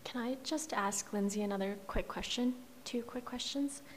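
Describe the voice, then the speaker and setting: A woman on a planning commission politely asking a question. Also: a little high. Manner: polite, slow, lively. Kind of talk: meeting question. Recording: off-mic in reverberant room, audible noise.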